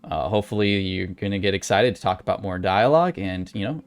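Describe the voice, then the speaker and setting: A man's voice: low-pitched, animated, a little fast, clean tone. A man giving casual writing advice as an author, in a folksy, conversational way with emphasis on key points. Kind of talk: casual instructional vlog talk.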